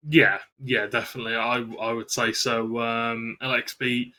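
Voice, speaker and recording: Bright-voiced male esports analyst. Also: mid-range voice, level tone, moderate pace. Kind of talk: match analysis in live commentary.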